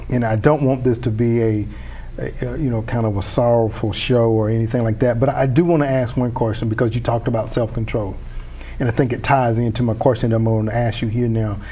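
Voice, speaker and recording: A man's voice: mid-range voice, brisk, dark tone. A man hosting a community TV interview, chatty and enthusiastic, often restarting his sentences. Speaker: host.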